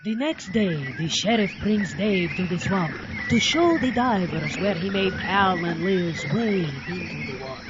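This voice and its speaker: High-voiced male radio drama narrator. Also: animated, unhurried, heavy background noise. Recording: dull lo-fi, music underneath.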